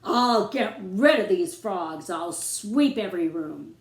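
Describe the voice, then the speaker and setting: A pompous male pharaoh from a children's storybook, acted out by a woman. He is boastful and stubborn, exclaiming grandly and quick to panic. The room rings around the voice. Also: medium pitch, lively intonation. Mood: defiant, pompous. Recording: roomy, echoing room sound.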